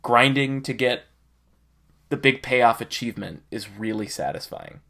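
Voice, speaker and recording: A man's voice: mid-range voice, animated, unhurried, gravelly, bright, forceful tone. A man co-hosting a podcast, chatting casually and earnestly about a game. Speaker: podcast co-host.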